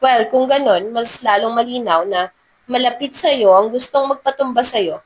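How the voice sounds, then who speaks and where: A woman's voice: on the high side, moderate pace, natural voice, low-quality recording. Woman narrator as a guarded, blunt female bodyguard. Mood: alert, firm. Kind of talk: dramatized romance story narration.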